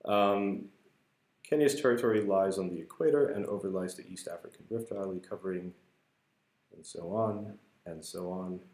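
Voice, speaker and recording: A man's voice: sits low, normal speed, darkish tone. A man explaining a research paper at a whiteboard in a casual, hesitant way, with many fillers and restarts. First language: not English. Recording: echoey room.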